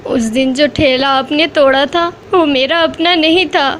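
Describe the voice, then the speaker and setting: A woman's voice: high-pitched, unhurried, a bit bright, a little hiss or hum. A woman voicing a poor mother sparrow in a cartoon, pleading and tearful. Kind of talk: cartoon voice acting.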